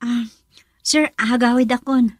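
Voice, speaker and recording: Anxious Ilocano woman, higher-pitched. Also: steady tone, takes it slowly, no room sound, studio quality. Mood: worried. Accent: Filipino, Ilocano. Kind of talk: radio drama acting.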